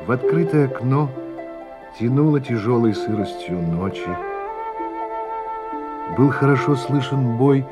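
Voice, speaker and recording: An actor narrating a radio play as a man looking back on his life, in a slow, reflective manner that falls to a hush at the ends of phrases. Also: mid-range voice, lightly acted. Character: adult man recalling his youth, reflective and grave. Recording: studio narration over music. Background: music score.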